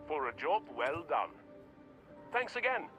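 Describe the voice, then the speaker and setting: A bright, grateful game character. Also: male voice, on the high side, big pitch swings, unhurried, highs cut off, faint music behind.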